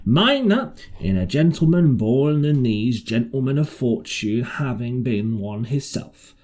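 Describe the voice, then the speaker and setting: A man's voice: medium pitch, very animated, measured pace, a little mellow. A man voicing a shrewd, half-crazed marooned seaman in an audiobook, with rustic, ungrammatical, repetitive sailor talk. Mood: insistent, confidential. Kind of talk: audiobook character voice.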